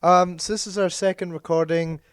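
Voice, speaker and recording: A man co-hosting a casual chat podcast, hoarse and losing his voice from being sick, talking in a meandering, hesitant way that often corrects itself. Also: high voice, fairly even intonation, moderate pace, no echo, studio quality. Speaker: podcast co-host.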